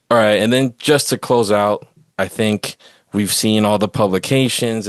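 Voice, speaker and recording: A man's voice: on the low side, clear, resonant tone, no echo. A man hosting a podcast, talking through sports opinions in a casual, rambling way. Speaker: podcast host.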